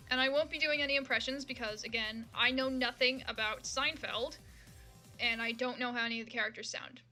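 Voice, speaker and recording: Female streamer, bright and highish, informal comic read-aloud, self-deprecating and sweary, faint music. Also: fairly even intonation, fairly quick. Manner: sweary, self-mocking, giggly asides. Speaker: livestreamer reading fan fiction. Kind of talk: casual livestream chat and comic read-aloud.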